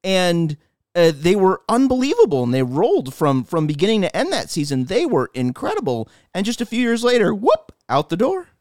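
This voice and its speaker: A man's voice: sits high, huge pitch swings, moderate pace, darkish tone, dry recording, studio quality. A middle-aged man co-hosting a podcast, chatting casually and emphatically about sports and culture. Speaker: podcast co-host.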